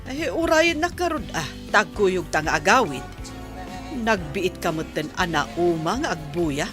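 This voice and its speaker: Woman as fussing mother, lowish pitch, swooping intonation, chatty, affectionate, audio drama. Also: very animated, a little slow, over music. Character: affectionate, fussing middle-aged village mother. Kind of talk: Ilocano audio drama acting.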